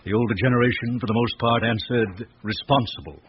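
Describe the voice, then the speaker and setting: A man playing a courteous, erudite, middle-aged gentleman with an obsession, speaking deliberately and at length in a radio drama. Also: mid-range voice, close to an everyday voice. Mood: fervent. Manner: genteel, probing, deliberate, loquacious. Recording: dull old radio recording, faint noise.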